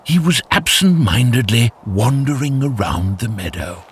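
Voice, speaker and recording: A man narrating a scripted children's fairytale in an expressive, animated storytelling way. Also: medium pitch, unhurried, a little hiss or hum.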